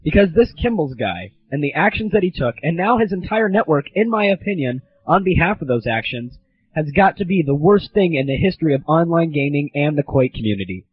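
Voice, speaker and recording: A man hosting a gaming audio show, delivering a belligerent, sarcastic, profane comedic rant in a husky voice. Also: a little high, very animated, fairly quick. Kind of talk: ranting comedic monologue. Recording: dull lo-fi 1990s amateur recording.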